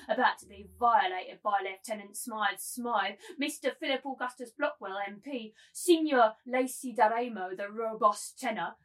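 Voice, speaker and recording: A woman's voice: mid-range voice, fairly bright tone, far from the mic, close to an everyday voice, low-quality recording. A woman playing a cruel, gloating, domineering madam in a theatrical reading of a novel, taunting and imperious. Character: cruel, gloating, domineering brothel madam turned masculine tyrant. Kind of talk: dramatic table reading of a novel.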